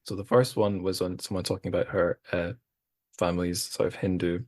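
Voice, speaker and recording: A man's voice: sits low, little pitch movement, a little fast, no echo, studio quality. A man hosting a podcast, chatting casually in a rambling, self-deprecating way with many restarts. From Scotland. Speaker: podcast host. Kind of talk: casual chat.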